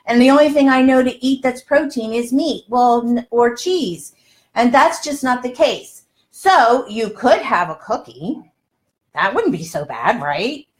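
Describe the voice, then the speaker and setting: High-voiced chatty older woman, live cooking host. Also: lively intonation, measured pace. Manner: chatty, folksy, emphatic. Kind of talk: casual live cooking chat to viewers. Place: home kitchen, indoors.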